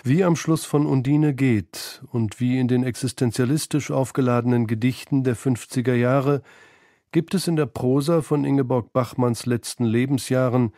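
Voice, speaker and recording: Mellow male documentary narrator, dry sound. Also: medium pitch, normal speed, no room sound. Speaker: narrator of a literary radio feature. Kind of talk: scripted narration.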